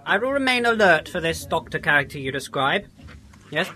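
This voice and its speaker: A jittery, stammering agent, played by a man. His voice is very high and bright. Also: very animated, a little fast, husky, exaggerated voice. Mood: fearful. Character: nervous male secret agent on phone.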